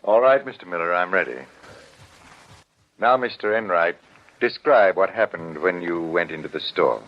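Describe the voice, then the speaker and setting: A man playing a calm, methodical doctor in a 1940s radio drama, formal and polite. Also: low voice, moderate pace, close to an everyday voice. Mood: calm, clinical. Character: calm, methodical male doctor, clinical expert. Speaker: lie detector specialist. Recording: dull old 1940s radio broadcast.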